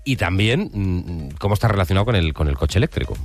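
Low-pitched Spanish man, a hesitant interviewer. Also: very animated, fast, soft background music. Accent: Spain Spanish. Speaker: interviewer, host. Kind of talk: casual interview chat.

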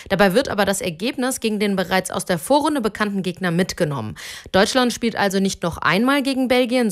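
A woman reading a scripted sports news report. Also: medium pitch.

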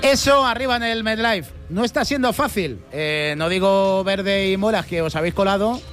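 A man's voice: high-pitched, normal speed, a bit bright, faint music behind. A man with an accent from Spain hosting live sports talk on the radio. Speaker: radio sports show host.

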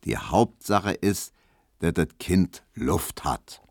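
A man voicing a calm, philosophical family man in a storybook reading, measured and consoling. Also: fairly low pitch, no echo, studio quality. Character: shop customer-turned-comforter, calm philosophical family man.

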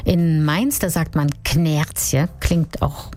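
A woman presenting a radio segment in a lively, chatty way. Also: low voice, takes it slowly. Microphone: studio mic.

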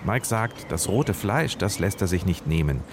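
A male radio reporter narrates his reportage in a calm, scripted storytelling way. His voice sits low, with a faint ambient bed beneath. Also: normal speed, background noise.

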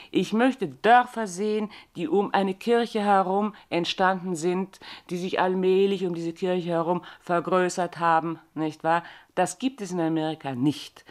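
A woman from Bavaria talks in a measured, reflective way, pausing often to find her words. Her voice sits a little low. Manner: deliberate, with frequent "äh" and "nicht wahr". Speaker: interview guest. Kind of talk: thoughtful conversational talk.

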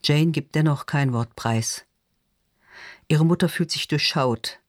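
A man's voice: a little high, slow pace, dry recording, studio quality. A man narrating literary fiction in a scripted audiobook reading style.

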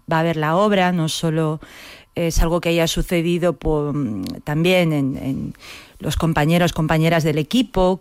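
A woman's voice: deep voice, a little mellow, smooth, no room sound. Female playwright guest, reflective talker, resonant clean voice, dry close sound. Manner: reflective, often seeks agreement with tag questions. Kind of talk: reflective conversational interview.

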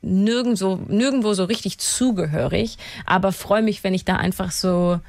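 A woman's voice: medium pitch, normal speed, a little mellow, dry recording. A chatty singer-songwriter with a Canadian accent, guesting on a radio show. She laughs easily and drops English words into her talk, with a dry, close studio sound. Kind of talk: radio interview, casual chat.

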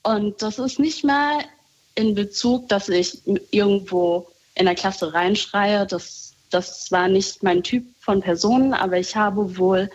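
A woman talking casually on a call, reflective and soft-spoken, often laughing lightly. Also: mid-range voice, slow, muffled recording. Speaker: guest.

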